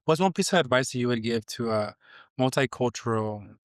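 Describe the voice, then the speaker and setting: A man hosting a casual podcast interview, encouraging his guest with short agreeing replies and halting, searching questions. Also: mid-range voice, animated, no room sound, studio quality. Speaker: podcast host.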